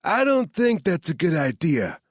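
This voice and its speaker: A man's voice: fairly high pitch, very animated, a little slow, slightly rough, dry recording, voice pushed up, exaggerated voice. A man voicing an earnest young male computer expert in his mid-twenties.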